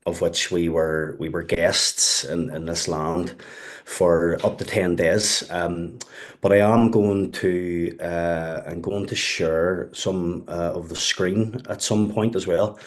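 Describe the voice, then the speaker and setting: A man's voice: deep voice, steady tone, slow pace. A man from West Belfast with a Belfast accent, speaking as a guest at an online webinar, hesitant and full of fillers and restarts. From West Belfast, Northern Ireland. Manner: hesitant, many fillers and restarts, says "wee bit". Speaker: webinar guest speaker, community youth worker. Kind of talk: casual spoken talk.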